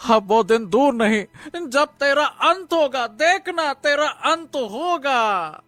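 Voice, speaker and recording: An actor playing a frightened male villager in an animated moral story, pleading and halting. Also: high voice, dry recording, exaggerated voice. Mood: defiant.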